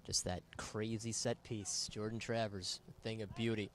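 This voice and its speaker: A man's voice: lowish voice, level tone, moderate pace. A man giving conversational color commentary on a live soccer match.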